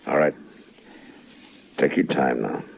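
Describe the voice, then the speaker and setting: A man acting a stern, steady American frontier marshal in a radio western, speaking in terse, deliberate commands. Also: rumbling low, gravelly, husky, full character voice. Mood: steady, coaxing. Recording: muffled, noisy old radio recording.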